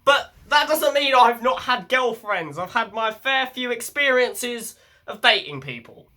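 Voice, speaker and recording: Young male vlogger with a head cold. Bright, congested voice, blunt and sweary. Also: very high-pitched, expressive, unhurried. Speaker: channel host. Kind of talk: casual self-help vlog.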